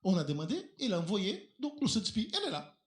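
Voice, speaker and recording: A male pastor preaching a sermon in a church, a native Portuguese speaker who asks rhetorical questions and leaps up and down in pitch as he drives his points home. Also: huge pitch swings, a little fast. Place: church hall. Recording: echoey church hall.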